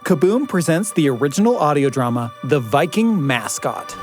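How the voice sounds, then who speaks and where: A man's voice: a little high, big pitch swings, normal speed, loud music behind the voice. A man co-hosting a podcast in a scripted, conversational introduction. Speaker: podcast co-host.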